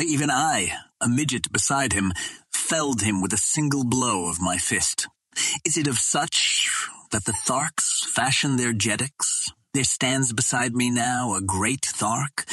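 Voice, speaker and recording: A man's voice: mid-range voice, a bit bright, husky, dry recording. A man voicing a bold human captain in an audiobook, making a defiant, challenging speech like an orator.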